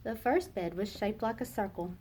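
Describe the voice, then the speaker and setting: A woman teacher reading a children's picture book aloud, slow and expressive for young listeners. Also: medium pitch, slight background noise.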